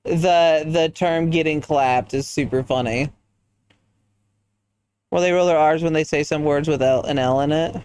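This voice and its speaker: Smooth-voiced man chatting on stream. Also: on the high side, steady tone, measured pace, pauses often, a little mellow. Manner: rambling, reacts to chat, goofy asides. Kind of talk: casual chat while gaming.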